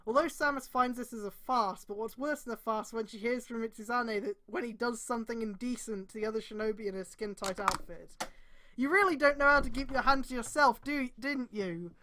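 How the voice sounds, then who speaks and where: A woman's voice: fairly high pitch, a little fast, sharp tone. A woman casually reading a story aloud on a stream, breaking off for irreverent, sweary commentary.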